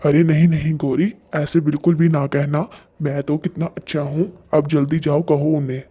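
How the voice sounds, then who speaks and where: A woman voicing a grumpy, lazy male crow husband in a children's moral story, complaining and demanding in a husky, muffled voice. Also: deep voice, flat delivery, normal speed, dark tone, pitched below the performer's own voice. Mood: whining.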